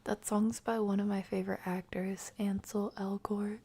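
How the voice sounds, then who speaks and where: A woman hosting an ASMR stream, chatting casually with viewers in a soft, often whispered voice. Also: medium pitch, little pitch movement, moderate pace, gravelly, husky. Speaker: ASMR livestream host.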